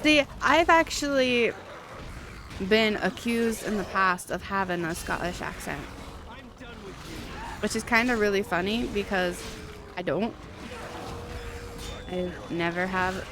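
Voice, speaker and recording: A woman live-streaming a game and chatting casually with viewers in a rambling, halting, giggly way. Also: medium pitch, background noise.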